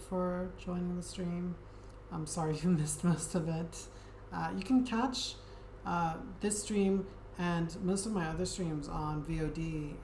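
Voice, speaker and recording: A woman streamer chatting casually with her viewers in a soft, friendly, hesitant way, with frequent ums. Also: lowish voice. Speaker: Twitch streamer. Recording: echoey room with steady background noise.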